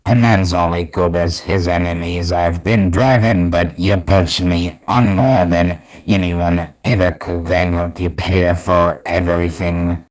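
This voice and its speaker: A man's voice: low voice, steady tone, unhurried, hardly any pauses, rough recording. A man voicing a bitter, theatrical supervillain archenemy in a comic dub. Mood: weary, grave. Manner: sneering, theatrical.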